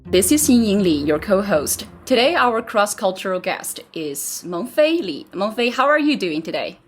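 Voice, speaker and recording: A woman's voice: mid-range voice, sing-song, moderate pace, breathy edge, soft background music. A woman co-hosting a podcast, interviewing a guest with friendly, careful questions.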